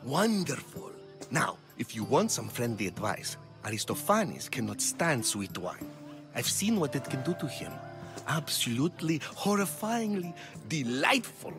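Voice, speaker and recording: Pompous, animated Athenian wit. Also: male voice, medium pitch, unhurried, music in the background. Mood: sly amusement. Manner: pompous, arch. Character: vain, witty, disdainful male Athenian intellectual. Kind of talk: video game cutscene acting.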